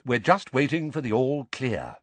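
A man voicing a calm, kindly, tired youngish male wizard in an audiobook. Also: mid-range voice, normal speed, no echo, voice pushed up. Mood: reassuring.

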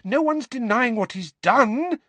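A man's voice: sits high, huge pitch swings, normal speed, husky, no room sound, voice pushed up, heavily acted. A man reading an audiobook and voicing a stern, protective middle-aged mother who speaks sharply and scolds. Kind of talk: audiobook character voice.

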